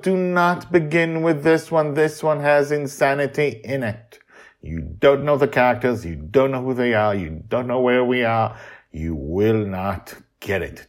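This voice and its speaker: A man hosting a story podcast as author and narrator, theatrical and playful, leaning hard on his words with dramatic emphasis. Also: mid-range voice.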